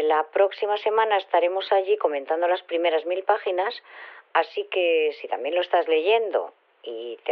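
Friendly woman leaving a casual voicemail, bit low voice, thin phone sound, slightly halting. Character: friendly female resident leaving a book club announcement. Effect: telephone filter. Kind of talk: informal voicemail announcement. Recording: thin, narrow phone recording.

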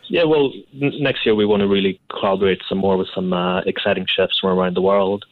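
Scottish man, deep voice, conversational, interview guest, chef, dry studio-like sound. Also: fairly even intonation, fast, no room sound, phone-quality sound. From Scotland.

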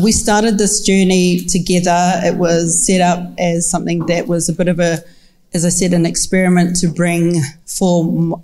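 Co-moderator: New Zealand woman, relaxed warm timbre, lower pitch, live welcome speech. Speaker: co-moderator, film commission representative. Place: live festival talk, handheld microphone.

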